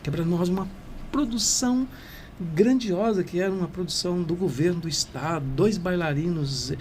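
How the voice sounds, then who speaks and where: A man's voice: sits high, normal speed, darkish tone, slight background noise. A Brazilian man, a culture manager, telling an enthusiastic, rambling story as a guest in an interview. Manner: enthusiastic, rambling, often tags "né?". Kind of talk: storytelling conversation.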